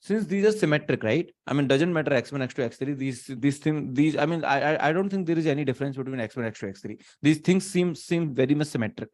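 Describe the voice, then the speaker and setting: A man's voice: on the high side, animated, fast, few pauses, a little mellow. A man in his thirties with an Indian accent, giving a live online lecture. He thinks aloud with restarts and fillers, slipping into Hindi, recorded in a small room on a webcam setup. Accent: Indian English, mixing in Hindi. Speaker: teacher. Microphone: webcam setup, not close.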